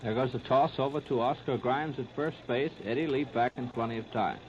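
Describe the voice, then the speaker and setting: A man's voice: mid-range voice, fairly quick. An American man calling a baseball game play by play in 1930s radio style. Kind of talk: 1930s radio sports commentary. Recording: scratchy, muffled 1939 radio disc recording.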